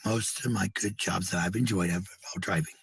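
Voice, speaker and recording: A man with a raspy, gravelly voice in casual, rambling chat, blunt and swearing freely. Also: low-pitched, steady tone, a little fast, a bit bright.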